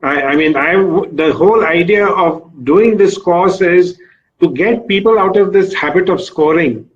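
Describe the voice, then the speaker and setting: A man's voice: sits high, a little slow, muffled recording. A man speaking as a coach and interviewer, stressing and repeating his key words with emphasis. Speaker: shooting coach, host.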